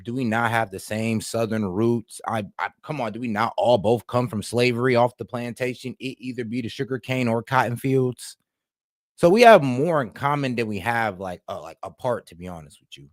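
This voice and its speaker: A man from the Caribbean co-hosting a casual group discussion, blunt and animated, mocking the voices he quotes. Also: mid-range voice, moderate pace, dry recording, clean studio sound. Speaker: podcast co-host.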